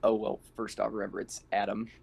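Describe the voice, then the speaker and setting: A man's voice: fairly low pitch, flat delivery, quick pace, gravelly, darkish tone. A man improvising casually as a player in a tabletop roleplaying game, in a hesitant, stumbling manner.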